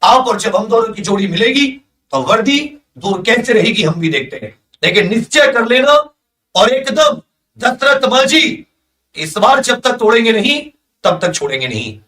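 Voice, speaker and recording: A man's voice: high voice, very animated, moderate pace, pushed and bright, recorded from a distance. A man speaking as an exam coaching teacher to students, emphatic and motivational, often repeating phrases for stress. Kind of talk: energetic exam-prep announcement to students.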